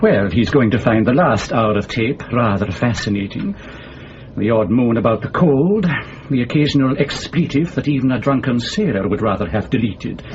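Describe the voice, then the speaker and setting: A man's voice: medium pitch, lively intonation, moderate pace, a little mellow, close to an everyday voice. Male actor as senior surveillance officer, sardonic, impatient, radio drama, muffled noisy audio. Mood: dry, sardonic. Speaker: officer in charge.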